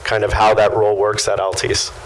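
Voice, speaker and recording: Male panel moderator, lower-pitched voice, casual conversational hosting. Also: completely flat delivery, brisk, a little hiss or hum, rough recording.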